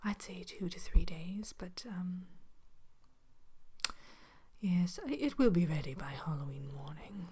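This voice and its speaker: A woman voicing a politely sinister evil queen who runs a potion shop, in a soft, breathy, hesitant ASMR whisper. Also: on the low side. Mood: helpful, unhurried. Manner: hesitant, genteel, unhurried, matter-of-fact about dark magic.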